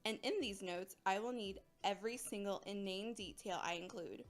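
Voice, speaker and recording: A woman playing a cheery botany teacher in an audio drama, addressing a class with brisk, mock-stern teasing. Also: medium pitch, soft background music, lightly acted.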